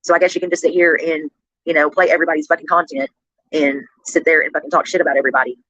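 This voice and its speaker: A man rants casually at a rapid clip, swearing and sounding sarcastic and aggrieved. His voice sits a little high and has been altered in playback speed. The sound is dull and dry. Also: fairly even intonation, bright, forceful tone, no echo. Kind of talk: casual rant. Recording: dull, lo-fi replayed stream audio.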